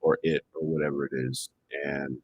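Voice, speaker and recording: A man's voice: extremely low pitch, level tone, moderate pace, muffled recording, a little hiss or hum. A man talking casually as a guest on a music podcast, recorded in a studio. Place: indoor studio.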